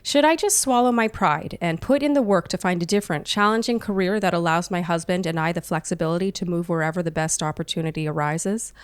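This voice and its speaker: A woman's voice: on the low side, fast, few pauses, clear, resonant tone, no echo. A woman co-hosting an advice podcast, speaking conversationally and reading listener mail. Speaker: podcast co-host answering listener questions. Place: living room, indoors.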